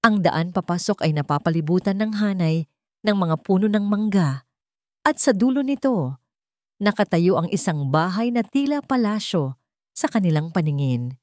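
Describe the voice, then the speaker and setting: A woman tells a scripted dramatic story in a low-pitched voice. The recording is dry and close, with no room around the narration. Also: lively intonation, normal speed, darkish tone, no room sound.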